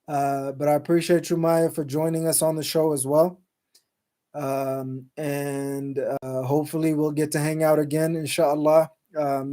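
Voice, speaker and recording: A man's voice: on the high side, mellow. A man hosting a live call-in show, talking loosely with many fillers and restarts and sprinkling in Islamic phrases as he reads viewer comments. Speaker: show host. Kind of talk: casual live-stream chat reading comments. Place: Salt Lake City, Utah.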